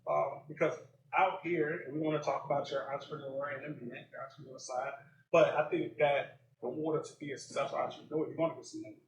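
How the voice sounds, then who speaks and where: Male podcast host, slightly high voice. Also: fairly even intonation, quick pace, echoey room, poor audio. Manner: interviewer, many "right" backchannels. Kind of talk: live podcast interview. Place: stage at a live event.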